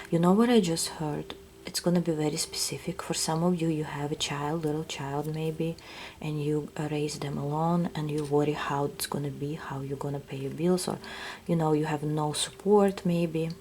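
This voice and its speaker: A woman with a Russian accent, giving a soft, hesitant tarot reading that often drops to a whisper. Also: low-pitched, slight background noise. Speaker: tarot reader. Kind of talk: intuitive reading to viewers.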